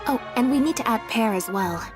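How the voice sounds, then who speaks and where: A woman voicing a calm, deadpan young female magician's assistant with a dry delivery, in game voice acting. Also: a little high, brisk, natural voice. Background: game music.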